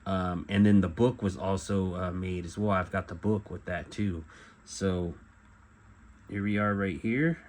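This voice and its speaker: A middle-aged man giving a casual show-and-tell as a collector, talking in a halting, rambling way and often correcting himself. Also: deep voice, fairly even intonation, darkish tone. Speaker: collector presenting memorabilia. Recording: clear background noise.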